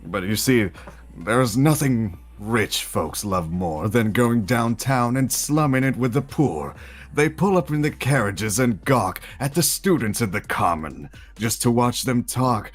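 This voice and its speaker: Man playing a slow, sardonic jackal villain. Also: medium pitch, animated, breathy edge. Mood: sly, mocking. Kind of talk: character narration of a stage musical. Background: music.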